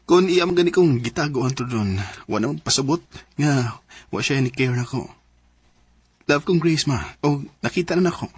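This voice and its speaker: Man acting a lovesick young cebuano boyfriend, earnest, bit husky, radio drama. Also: medium pitch, moderate pace, dry recording, close to an everyday voice. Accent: Filipino, Cebuano. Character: devoted young Filipino boyfriend, earnest and lovelorn.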